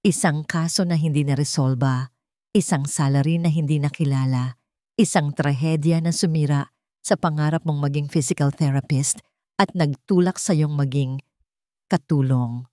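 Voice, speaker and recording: A woman narrator plays a wealthy villainess of an audio love story, mocking and theatrical. Her low voice is deliberate and full of sarcasm, recorded dry. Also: steady tone, dark tone, dry recording, lightly acted. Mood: smug cruelty.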